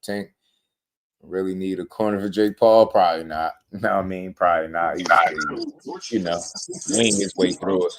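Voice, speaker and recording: Animated, slangy boxing show host man. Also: low voice, normal speed. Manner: animated, laughing, slangy trash talk. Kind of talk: casual boxing talk.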